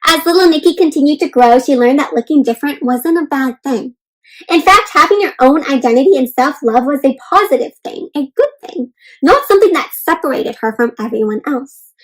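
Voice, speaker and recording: A woman's voice: high voice, lively intonation, brisk, low-quality recording. A woman reading a storybook aloud to young children, encouraging and reassuring, often stopping to explain simply and check in with them. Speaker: children's read-aloud host.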